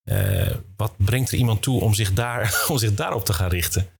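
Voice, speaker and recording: A man's voice: on the low side, huge pitch swings, normal speed, vocal fry, breathy edge, no echo, clean studio sound. A man hosting a podcast in a friendly, informal, conversational way. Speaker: podcast host.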